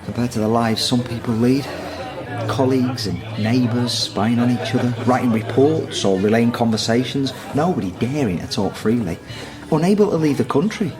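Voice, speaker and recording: An actor playing a disapproving, dutiful brother who reasons and lectures in naturalistic radio drama dialogue. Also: male voice, mid-range voice, normal speed, a crowd in the background, natural voice. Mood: measured.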